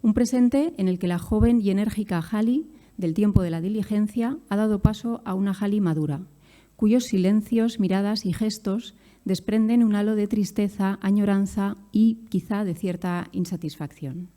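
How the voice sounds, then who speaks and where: A woman's voice: medium pitch, normal speed, dark tone. A woman reads a scripted academic paper at a conference in formal, literary phrasing.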